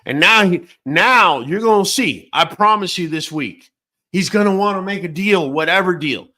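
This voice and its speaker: A man hosting a late-night talk show who was born in Venezuela. His voice sits a little high, and he talks in a casual, blunt, profane rant, stacking one opinion on the next. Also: lively intonation, normal speed, bright, forceful tone. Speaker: host.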